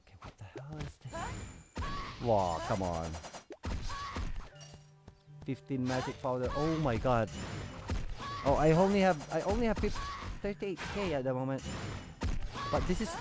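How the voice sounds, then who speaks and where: A man's voice: mid-range voice, animated, unhurried, pauses often. A man hosting a gaming video with casual, off-the-cuff commentary, swearing in an exasperated, sarcastic way. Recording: music behind the voice.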